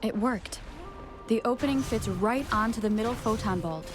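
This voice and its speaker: A woman playing a calm, inquisitive young adventurer in a video game, with a plain, inquiring delivery. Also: fairly high pitch, moderate pace, close to an everyday voice. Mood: curious. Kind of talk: scripted video game character dialogue. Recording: voice over faint game music.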